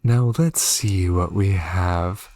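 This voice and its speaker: A man's voice: low-pitched, slow, darkish tone, a little hiss or hum. A man hosting a game playthrough, reading on-screen text aloud and adding short reactions of his own. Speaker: gaming video host.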